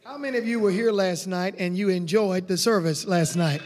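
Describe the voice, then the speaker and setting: Raspy high-voiced revival preacher. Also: male voice, moderate pace, a little mellow. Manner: exuberant, peppered with hallelujahs. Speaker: guest evangelist. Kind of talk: revival sermon. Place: church sanctuary. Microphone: handheld mic. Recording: echoey church hall.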